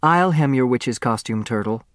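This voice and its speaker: A man voicing a gentle young woman in an audiobook, speaking softly and evenly. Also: mid-range voice, normal speed, dry recording, clean studio sound, natural voice. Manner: soft, even, polite. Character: gentle, even-tempered young bride-to-be, angelic daughter.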